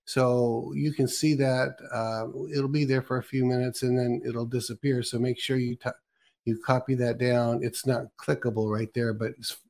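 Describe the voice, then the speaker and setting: A man's voice: medium pitch, darkish tone. A man hosting a live broadcast, talking casually with many restarts and fillers. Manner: rambling, hesitant with many restarts. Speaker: show host.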